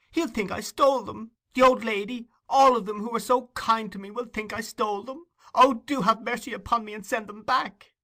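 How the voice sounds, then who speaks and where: High, pleading orphan boy. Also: bright, forceful tone. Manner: earnest, imploring, plaintive. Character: desperate, innocent, gentle orphan boy, pleading earnestly.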